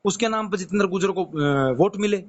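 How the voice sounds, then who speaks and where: A Rajasthani man with a high-pitched voice comes through a dull, noisy phone recording. He presses his point as a caller, insistent and repetitive, cutting in as he talks. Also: fairly quick. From Rajasthan, India. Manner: insistent, interrupting, repeats himself. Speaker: caller, community activist. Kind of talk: persistent questioning on a phone call.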